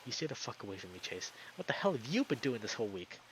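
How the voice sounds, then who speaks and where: A man's voice: mid-range voice, sing-song, fast, background noise, natural voice. A man voicing an aggressive, defensive male lizard character in a visual novel, swearing and barking commands. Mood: hostile.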